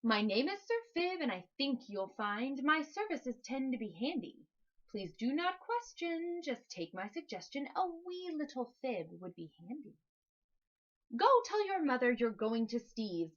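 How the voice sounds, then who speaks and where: A woman voicing a polite, fussy little gentleman fibber who coaxes smoothly in a children's storybook. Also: high voice, sing-song, measured pace, lo-fi sound. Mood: coaxing. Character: prim little gentleman fibber, male, smooth-talking and persuasive.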